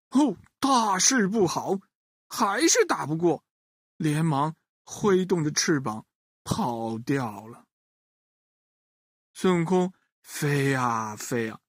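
A woman tells a children's story in a slightly low voice with very lively intonation. She talks straight to her young listeners in a playful way. The recording is dry. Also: no echo. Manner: addresses young listeners directly, playful particles and questions.

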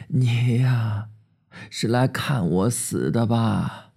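A man voicing a frail, bedridden old grandfather who talks weakly and slowly with sighs. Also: mid-range voice, creaky, darkish tone, husky, full character voice. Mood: weary. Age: older. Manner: weak, slow, sighing.

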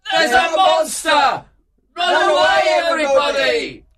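A man's voice: fairly high pitch, huge pitch swings, measured pace, a bit bright, no echo, clean studio sound, voice pushed up, exaggerated voice. A man voicing an earnest, fretful amateur actor and organiser in a storybook. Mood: alarmed, shouting. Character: Quince and fellows, anxious earnest male amateur players.

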